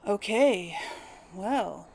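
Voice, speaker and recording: A woman's voice: medium pitch, expressive, drawn-out words, vocal fry, darkish tone, low-quality recording. A woman giving casual let's play commentary and role-play, musing and thinking aloud as she goes. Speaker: gamer, let's play host.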